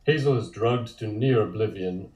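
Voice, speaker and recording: A man reading scripted scene descriptions as narrator at a table read, deliberate and descriptive. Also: lowish voice, a little mellow. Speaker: narrator reading stage directions. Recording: echoey room sound.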